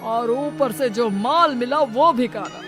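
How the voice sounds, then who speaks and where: Woman playing fretful greedy older cartoon dad, high lamenting voice, faint music. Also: takes it slowly. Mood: rueful. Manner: fretting, lamenting. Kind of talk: cartoon story voice acting. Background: faint background music.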